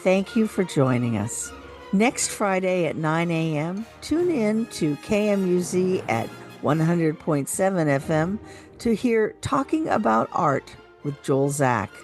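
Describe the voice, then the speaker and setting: A woman's voice: fairly low pitch, sing-song, takes it slowly, a little mellow, faint music behind. A woman co-hosting a radio show, asking questions and reading scripted announcements.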